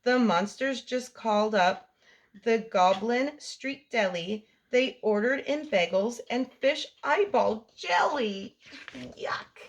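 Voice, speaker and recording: High-pitched, unhurried woman reading storytime. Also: expressive. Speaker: storytime host reading a picture book aloud to children. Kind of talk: read-aloud storytelling for young children.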